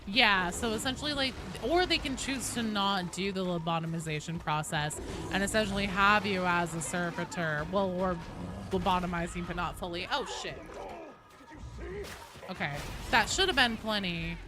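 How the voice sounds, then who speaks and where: A woman's voice: lowish voice, normal speed, over music. A woman livestreaming a game, chatting casually and discursively about it, with frequent swearing. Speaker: game streamer. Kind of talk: casual livestream gaming chat.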